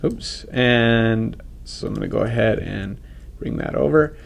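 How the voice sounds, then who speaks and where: A man with a head cold, talking casually through a software tutorial and correcting himself as he goes. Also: medium pitch, a little slow, creaky. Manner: casual, thinks aloud, self-correcting. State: congested from a cold. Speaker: software instructor. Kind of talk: screen-recorded software tutorial.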